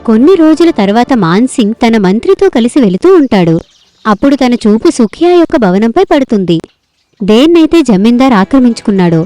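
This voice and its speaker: A woman narrates a story from a script in a high, very animated voice. Her tone is mellow and rounded, and light music plays behind her. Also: brisk, dry recording.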